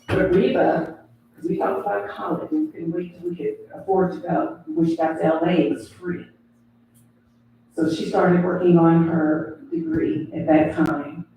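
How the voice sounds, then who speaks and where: A man's voice: fairly high pitch, dark tone, a little hiss or hum. A man giving a heartfelt eulogy for a family member at a funeral home. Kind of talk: memorial tribute speech. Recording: very echoey hall, distant mic.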